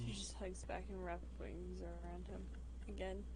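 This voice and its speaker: A woman's voice: fairly low pitch, flat delivery, moderate pace. A woman chatting casually and improvising role-play narration on a game stream. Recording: faint background noise.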